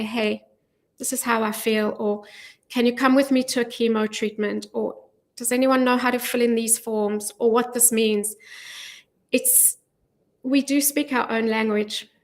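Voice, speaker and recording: Earnest middle-aged woman, radio guest. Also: a little high. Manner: earnest, measured, with frequent ums. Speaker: guest, charity volunteer. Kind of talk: radio interview. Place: radio studio. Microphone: studio mic with headphones.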